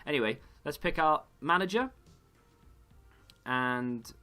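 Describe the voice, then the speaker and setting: A man, slightly hoarse, rambling through casual gaming commentary and correcting himself as he goes. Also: on the high side, expressive, takes it slowly, bright, forceful tone, faint music behind. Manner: rambling, self-correcting chatter. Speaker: gaming YouTuber.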